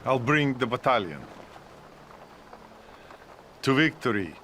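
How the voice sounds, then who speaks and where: A man playing a ruthless, smooth Soviet army major in a video game, commanding and coolly threatening. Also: mid-range voice, animated, takes it slowly, pushed and bright. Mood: solemn, smooth. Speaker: military officer villain. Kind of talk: video game cutscene acting. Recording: heavy vehicle and ambience noise behind.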